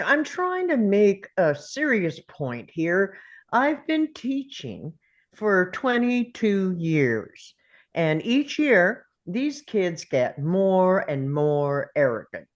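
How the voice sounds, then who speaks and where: A woman takes on a weary older male schoolteacher in a script read, full of indignant complaint. The delivery is unhurried, with pitch that moves around in a lively way. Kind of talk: table read of a film script.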